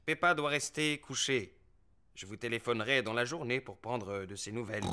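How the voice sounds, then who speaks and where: A man's voice: mid-range voice, moderate pace, sharp tone, clear, resonant tone, lightly acted. A man playing a reassuring bear family doctor in a French preschool cartoon. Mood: reassuring, businesslike.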